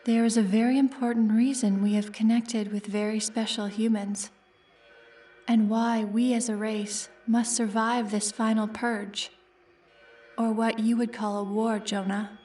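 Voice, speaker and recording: A woman playing a calm, polite synthetic android in a sci-fi audio drama, formal and measured. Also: fairly high pitch, recorded from a distance, natural voice. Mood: calm, guarded. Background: music.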